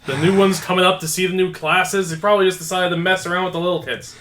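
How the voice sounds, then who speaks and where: A man's voice: sits high, little pitch movement, fast talker, a bit bright, a little hiss or hum. A man improvising casual chat as a player in a tabletop game.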